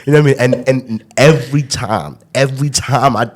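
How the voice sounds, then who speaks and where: A man's voice: mid-range voice, fairly quick. A young man hosting a podcast in casual chat, telling loose stories and often checking in with "you know what I mean". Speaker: podcast host.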